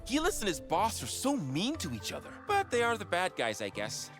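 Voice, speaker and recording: Naive kid hero, kind and sincere, very swoopy intonation, game cutscene, music behind. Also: female voice, on the low side, huge pitch swings, a little fast. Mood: innocent. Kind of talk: comedic video game acting.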